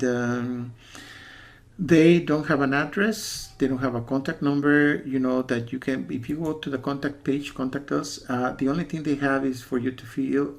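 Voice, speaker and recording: Male reviewer, mid-pitched with noticeable fry; unscripted, hesitant delivery that hammers points by repetition. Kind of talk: casual spoken warning review with screen walkthrough.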